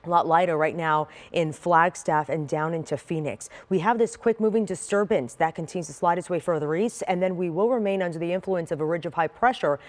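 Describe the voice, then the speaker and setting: An American woman gives a weather forecast on television. Her voice sits a little low, and she talks through the conditions in an ad-libbed presenter's style. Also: a little fast, few pauses.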